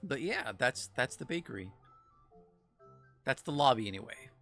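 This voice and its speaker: A bright, forceful-voiced man chats loosely as a guest developer, restarting sentences and chuckling as he goes. He sounds like he is on a remote call mic, with faint music playing behind him. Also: mid-range voice, big pitch swings, moderate pace, vocal fry. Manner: rambling, self-interrupting, laughs often. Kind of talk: casual stream chat.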